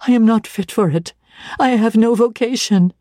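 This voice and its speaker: A woman voicing an earnest young governess, speaking higher and with urgent emotion. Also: moderate pace, mellow, dry recording, clean studio sound, lightly acted. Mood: pleading.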